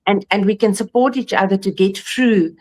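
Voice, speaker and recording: A woman's voice: medium pitch, normal speed, darkish tone. A woman, a podcast host and pet nutritionist, talking in an emphatic, earnest way. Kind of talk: casual podcast chat.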